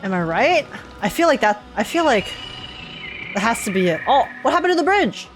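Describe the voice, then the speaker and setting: A woman's voice: a little high, sing-song, moderate pace, low-quality recording. A woman game streamer giving casual reaction commentary, informally thinking aloud and questioning herself. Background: game music.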